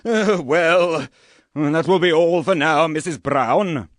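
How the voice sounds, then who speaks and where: A man's voice: on the high side, slow pace, no room sound, clean studio sound. A man playing a formal, methodical police sergeant in a radio murder mystery, with an officious manner. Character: brisk, methodical police sergeant investigating a death.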